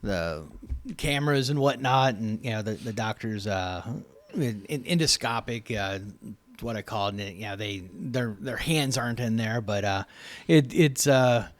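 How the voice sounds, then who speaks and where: A man co-hosting a casual podcast, talking in halting bursts with many restarts and dry jokes. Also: medium pitch, animated. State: recovering from recent surgery. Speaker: podcast co-host. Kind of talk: casual chat.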